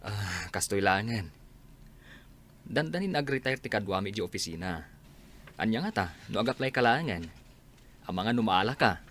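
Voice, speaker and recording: A man's voice: on the low side, expressive, normal speed, long pauses, a bit bright, a little hiss or hum. A man playing a practical social worker who gives matter-of-fact advice in a radio drama, with an Ilocano accent. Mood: concerned advice.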